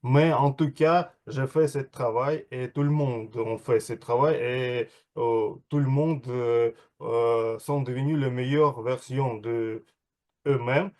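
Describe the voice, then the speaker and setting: A man's voice: medium pitch, fairly even intonation, slow pace, darkish tone. A man giving a spoken evaluation at an online speaking club, hesitant with many fillers. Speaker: meeting evaluator.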